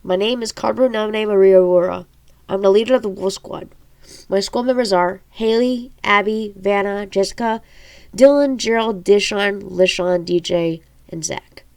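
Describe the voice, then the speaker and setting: A woman with a mid-pitched voice and a stuffed-up nose reads a fantasy story aloud. She is an amateur audiobook narrator, informal in her delivery, and she trips over words here and there. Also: moderate pace, darkish tone, clean tone. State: congested nose from allergies. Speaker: amateur audiobook reader and author.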